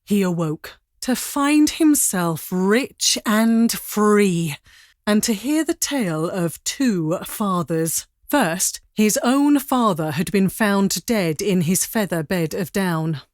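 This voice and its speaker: A woman narrating a serious dramatic story in a grave, deliberate manner. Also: mid-range voice, lively intonation, no echo, clean studio sound.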